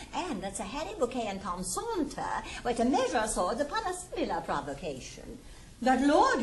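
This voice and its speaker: An actress playing a gossipy, falsely sympathetic older society lady in a stage comedy, English, gushing and affected. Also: fairly high pitch. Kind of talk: theatrical Restoration-style stage comedy acting. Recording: roomy 1960s studio recording with hiss.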